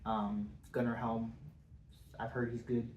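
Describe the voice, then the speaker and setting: A man's voice: mid-range voice, flat delivery, a little slow. A man with a congested voice, chatting casually about football as a podcast co-host, hesitant with many ums. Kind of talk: casual sports chat. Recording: echoey room, faint noise.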